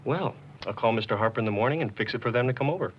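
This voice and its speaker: A man's voice: mid-range voice, animated, racing, close to an everyday voice. An actor playing an upbeat salesman arranging a customer demo, in a 1980s training film. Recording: muffled film soundtrack with loud hiss.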